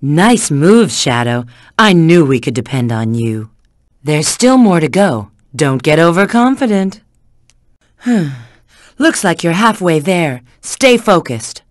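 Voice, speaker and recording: Casting: confident female bat treasure hunter, video game guide; coy, teasing, very animated intonation, dry studio sound. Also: low-pitched, slow pace, dry recording, exaggerated voice. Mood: encouraging, brisk. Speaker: video game character giving hints. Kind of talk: video game voice acting, tutorial prompts.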